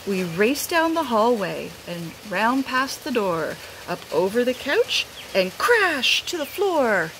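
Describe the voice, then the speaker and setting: A woman's voice: a little high, creaky. A middle-aged woman, a teacher, reads a picture book aloud expressively, outdoors under a roof. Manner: unhurried, animated. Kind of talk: expressive read-aloud storytelling. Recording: rain noise behind the voice.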